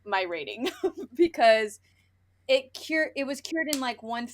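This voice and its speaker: A woman's voice: high voice, lively intonation, slow pace. A woman podcast host in a casual book chat, ranting bluntly with opinions and swearing, often acting out imagined dialogue.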